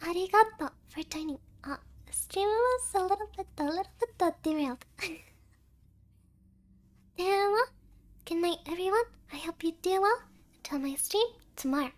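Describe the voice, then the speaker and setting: A woman voicing a cute, affectionate anime cat girl in soft livestream chat, peppering her speech with Japanese interjections. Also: very high-pitched, lively intonation, normal speed. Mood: cutesy, affectionate. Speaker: VTuber streamer hosting a livestream.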